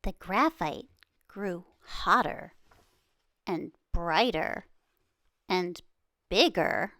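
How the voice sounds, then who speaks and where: A woman reads a children's book aloud in an animated voice that rises and falls widely. She takes her time, using dramatic pauses and emphasis to shape each phrase. The sound is dry and close. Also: on the low side, dry recording. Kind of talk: expressive read-aloud storytelling.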